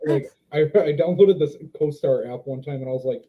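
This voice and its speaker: A man's voice: on the high side, brisk, over a noisy crowd. A man in his twenties, a dog trainer guest on a casual podcast, who talks expressively but often hesitates to find his words. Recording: slightly dull lo-fi sound.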